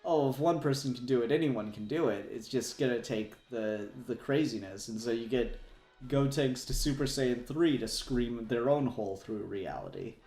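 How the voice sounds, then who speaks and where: A man's voice: medium pitch, normal speed, dark tone. A man chatting casually as a podcast co-host in a fan discussion, rambling with emphatic enthusiasm. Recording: roomy echo, music behind.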